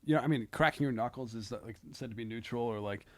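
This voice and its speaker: A man hosting a casual comedic podcast chat, thinking aloud in an animated, halting way. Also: medium pitch. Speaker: podcast host.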